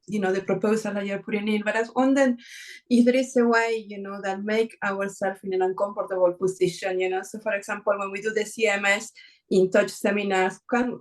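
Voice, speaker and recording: Woman from Argentina, workshop participant, earnest, filler-heavy. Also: medium pitch. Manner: frequent "you know", earnest. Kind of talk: casual discussion contribution.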